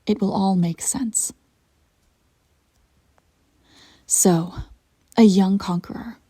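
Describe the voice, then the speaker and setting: A woman playing a ruthless, self-satisfied immortal evil empress in a scripted roleplay monologue, telling her tale in a haughty, intimate, theatrical way with a soft, husky, breathy voice. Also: medium pitch, exaggerated voice. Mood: grandiose, hushed. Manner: deliberate dramatic storytelling, haughty and intimate.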